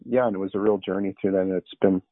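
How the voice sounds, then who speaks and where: A man's voice: low voice, steady tone, fast, no room sound. A middle-aged man giving a conversational testimony as an interview guest, earnest and rambling with restarts. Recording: narrow phone-line sound.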